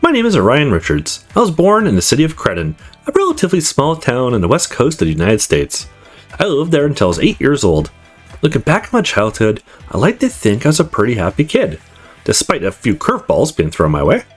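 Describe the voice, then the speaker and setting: A man's voice: mid-range voice, wildly swooping pitch, fairly quick, husky. A man voicing a confident, upbeat male student who narrates his life in a visual novel, in a breezy, conversational way. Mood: upbeat, reflective. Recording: music playing behind the voice.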